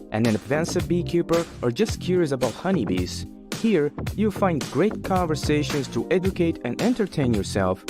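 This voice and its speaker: A man hosting a livestreamed podcast interview, speaking conversationally to his audience and guest. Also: a little high. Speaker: podcast host.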